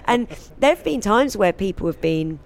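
A woman in casual interview chat, animated and laughing often, acting out quotes in high voices. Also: moderate pace. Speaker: guest, singer and comedian.

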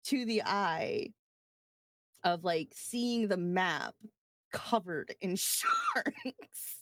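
A woman's voice: mid-range voice, very slow, no echo. Giggly woman co-host, dry close sound. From US East Coast. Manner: giggly, animated, swears casually. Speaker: podcast co-host. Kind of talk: casual chat.

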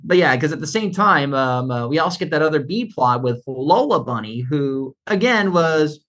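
Halting man in casual podcast chat. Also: on the high side, lively intonation, fairly bright tone. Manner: halting, filler-heavy delivery. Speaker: podcast co-host. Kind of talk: casual chat, fan discussion.

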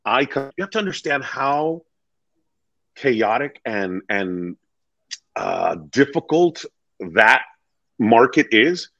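Male interview guest: deep, earnest, emphatic storyteller with wide swings in pitch, recorded in a dull, lo-fi sound. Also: expressive, slow pace, creaky, pauses often, a bit bright, dry recording. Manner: earnest, emphatic, acts out quoted dialogue. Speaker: real estate agent being interviewed as a guest.

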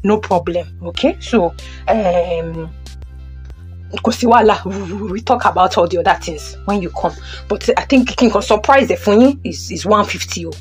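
A woman's voice: mid-range voice, normal speed, soft background music, natural voice, rough recording. A woman plays a Nigerian housing agent, a businesslike man in an audio drama. He is pushy and persuasive, starting sentences with small hesitations. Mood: persuasive, pressing. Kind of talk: audio drama acting, Yoruba-English phone conversation.

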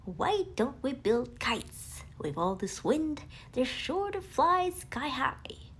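A woman voicing a bouncy, excitable, cheerful tiger in a children's storybook, loud and exuberant. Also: sits high, takes it slowly, slightly rough, heavily acted. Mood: excited.

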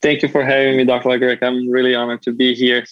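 A man in his thirties from Brazil, speaking English with a Brazilian accent as a podcast guest, relaxed and modest. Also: mid-range voice, fast, no room sound, dull audio. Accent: Brazilian Portuguese. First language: Portuguese. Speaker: guest, orthodontist. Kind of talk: casual interview talk.

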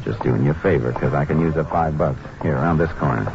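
An actor playing an undercover Texas Ranger posing as a streetwise drifter in a 1950s radio drama, with an unhurried, terse, slangy way of talking. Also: male voice, rumbling low, gravelly, noisy background. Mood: cool, streetwise. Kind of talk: 1950s radio western crime drama acting. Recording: dull old-time radio recording, music behind.

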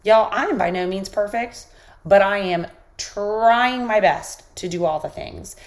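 A woman hosting a podcast, giving an encouraging motivational talk while sick with a squeaky voice. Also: measured pace. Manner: encouraging, coaching listeners directly. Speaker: podcast host.

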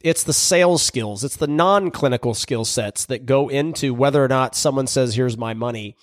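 Podcast host guy talking casual, bit hoarse and raspy, dry close sound. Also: medium pitch, normal speed, dry recording. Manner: energetic, conversational, frequent restarts. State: hoarse, recovering from voice loss.

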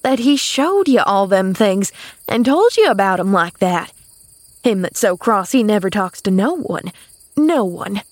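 A woman voicing an excitable, gossipy housemaid in an audiobook, with dramatic, folksy, ungrammatical speech. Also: mid-range voice, measured pace, no echo. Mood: puzzled. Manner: dramatic, folksy, ungrammatical dialect, repeats herself. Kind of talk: audiobook character voice.

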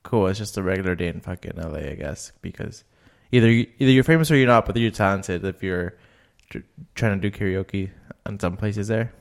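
A man's voice: low-pitched, fairly quick, vocal fry, hardly any pauses. A man chatting casually on a podcast, rambling with lots of fillers and restarts. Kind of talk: casual chat.

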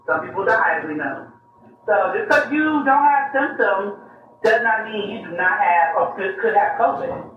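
A doctor calls in to the show and explains things in a measured, emphatic way. The voice sounds far off and heavily echoey, with clear background noise. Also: mid-range voice, lively intonation, narrow, tinny audio. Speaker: urgent care doctor, caller. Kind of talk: phone-in explanation.

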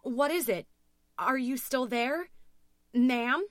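A woman voicing a female emergency dispatcher who is trying to stay calm, firm and insistent with urgent questions. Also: high voice, takes it slowly, dry recording, clean studio sound, voice pushed up. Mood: firm concern.

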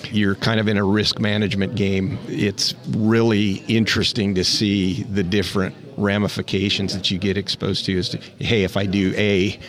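A man's voice: on the low side, level tone, moderate pace, hardly any pauses. A man being interviewed on a podcast, talking in a rambling, thoughtful way with frequent restarts. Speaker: interview guest, rancher. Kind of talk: casual interview.

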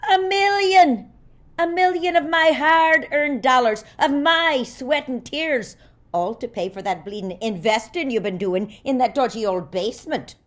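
An actress playing an imperious, complaining ageing Irish singer in an audio comedy. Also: female voice, sits high, measured pace. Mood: outraged. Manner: haughty, complaining, cursing. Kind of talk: comedy audio drama acting.

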